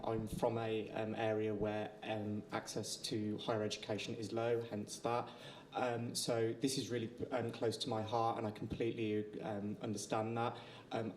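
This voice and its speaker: A man with a low, level voice, answering haltingly and filling nearly every pause. The sound echoes in a roomy hall over a faint rumble. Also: darkish tone. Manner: halting, many fillers. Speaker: election candidate. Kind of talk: answering a debate question.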